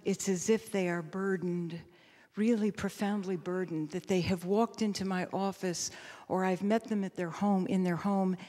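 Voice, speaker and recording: A woman pastor giving reflective, sermon-like panel remarks, measured and emphatic, often repeating phrases. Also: mid-range voice, warm tone. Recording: echoey hall with long reverb.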